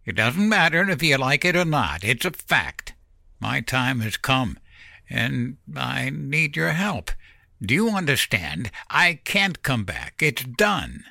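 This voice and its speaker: A man voicing a dying old uncle who talks bluntly and gruffly, in an audiobook story. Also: lowish voice, expressive, slow pace, sharp tone, no room sound, studio quality, exaggerated voice. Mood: irritated. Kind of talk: audiobook character voice.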